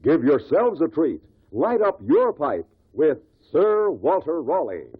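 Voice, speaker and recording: Eager commercial pitchman, American accent, animated high-ish voice, emphatic slogans, 1950s radio, phone-like sound. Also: slow pace, creaky, full character voice. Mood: emphatic selling. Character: enthusiastic pipe-tobacco pitchman in a radio commercial. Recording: tinny, hazy old radio recording.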